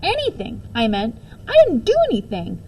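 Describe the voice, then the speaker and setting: A woman's voice: a little high, huge pitch swings, moderate pace, warm tone, a little hiss or hum, pitched above the performer's own voice, low-quality recording. A woman voicing a cheeky, defensive second-grade boy in a storybook, with playful, slangy talk.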